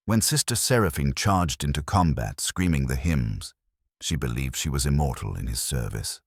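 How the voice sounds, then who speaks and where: Male narrator for dark sci-fi fiction: extremely low voice, solemn and measured, with lively swings in pitch. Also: no room sound, studio quality. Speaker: narrator of science fiction lore stories. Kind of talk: scripted dramatic first-person story narration.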